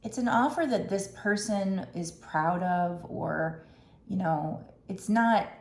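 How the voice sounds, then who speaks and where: A woman doing a tarot card reading, talking gently and musingly with restarts, her throat a little raspy. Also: lowish voice, reverberant. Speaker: tarot reader.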